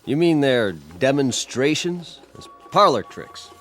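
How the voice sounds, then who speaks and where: Curt, impatient military officer. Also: male voice, medium pitch, sing-song, takes it slowly, fairly bright tone, soft background music. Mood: skeptical. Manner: curt, skeptical. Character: impatient, dismissive male army colonel. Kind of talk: radio drama acting.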